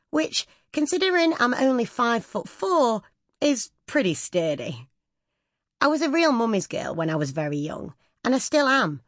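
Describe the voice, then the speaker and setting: Lively woman narrating, dry studio sound. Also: mid-range voice, no echo. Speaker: audiobook narrator. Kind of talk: scripted first-person memoir narration.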